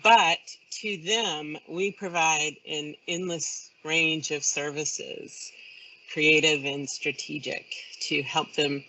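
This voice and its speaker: A woman speaking as a guest in a casual interview, hesitant and pausing mid-sentence. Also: deep voice, fairly even intonation, bright, forceful tone, low-quality recording. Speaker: guest, creative services business owner.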